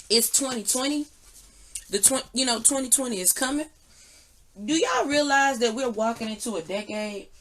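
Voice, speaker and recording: A woman's voice: a little high, a bit bright. A woman chatting casually and candidly to her viewers, slangy and emphatic, repeating phrases for effect. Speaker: online tarot and energy reader, channel host. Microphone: phone or similar device propped nearby. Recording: noisy recording with clicks.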